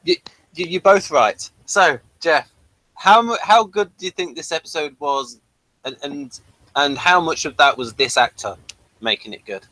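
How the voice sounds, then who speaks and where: Halting higher-voiced man, dry sound. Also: expressive, bright, forceful tone, no echo, low-quality recording. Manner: halting, stammering. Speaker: podcast host. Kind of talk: casual chat.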